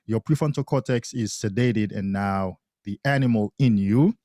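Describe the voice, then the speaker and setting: A man's voice: lowish voice, warm tone, no echo, studio quality. A man from Haiti, a podcast guest talking thoughtfully in casual conversation, often restarting his sentences. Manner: thoughtful, halting with frequent restarts.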